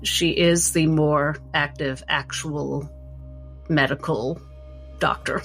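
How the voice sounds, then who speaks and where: A woman with a low voice full of fry improvises narration as a tabletop game player. She speaks slowly and thoughtfully, with music playing behind her. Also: fairly even intonation, fairly bright tone.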